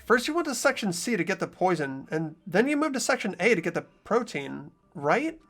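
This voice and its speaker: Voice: medium pitch, big pitch swings, a little fast, a bit bright, soft background music. A voice actor doing an earnest young detective. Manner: earnest, inquisitive. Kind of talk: fan voice acting of a game.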